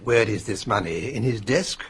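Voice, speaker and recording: Very raspy, formal family solicitor. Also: male voice, lowish voice, lively intonation, full character voice. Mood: concerned. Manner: measured, formal. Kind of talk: radio drama acting.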